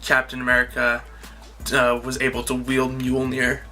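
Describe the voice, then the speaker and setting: A woman's voice: very deep voice, completely flat delivery, moderate pace, pushed and bright. A woman co-hosting a casual movie review as an enthusiastic fan who acts out quotes. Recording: clear guitar music behind the voice.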